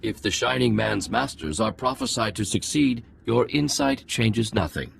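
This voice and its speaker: Very gravelly, solemn alien ruler. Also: male voice, on the low side, expressive, normal speed, slight background noise. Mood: detached. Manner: stately, oracular short pronouncements. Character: solemn, aloof male alien ruler, cryptic and formal. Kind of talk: dramatic video game acting.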